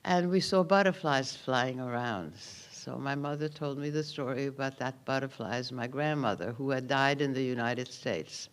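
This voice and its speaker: Man, 80s, Hungarian accent; memorial keynote testimony, calm, dry understatement. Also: medium pitch, normal speed, few pauses, clear, resonant tone. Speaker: Holocaust survivor giving a keynote. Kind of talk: personal testimony speech at a memorial ceremony.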